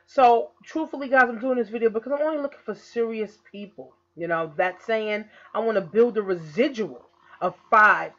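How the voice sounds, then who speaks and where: A woman in her early thirties, an online business coach, giving a casual recruiting pitch to camera, repeatedly checking in with "okay?" and "guys". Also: moderate pace, fairly bright tone, dull audio. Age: 30s. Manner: repetitive, keeps saying "okay?" and "guys". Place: indoor room. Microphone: laptop webcam in screen recording.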